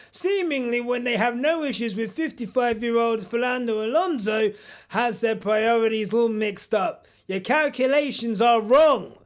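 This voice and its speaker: A man hosting a parody news segment, delivering it in a mock-pompous, boastful way with jokey asides. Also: extremely high pitch. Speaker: comedic news host.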